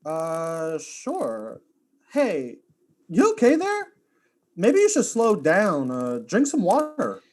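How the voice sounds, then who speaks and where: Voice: medium pitch, huge pitch swings, a little mellow. An actor as a wildly swooping goofy space influencer. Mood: awkward, concerned. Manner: exaggerated, slangy, drawn-out hype delivery. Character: goofy, upbeat galactic influencer wannabe, slangy streamer. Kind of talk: comic audio drama acting.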